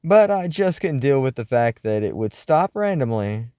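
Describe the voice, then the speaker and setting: A man's voice: mid-range voice, very animated, moderate pace, mellow, clean tone, no echo, studio quality. A man working as a host, reading listener mail in a scripted radio-show style with a theatrical, wry, musing delivery.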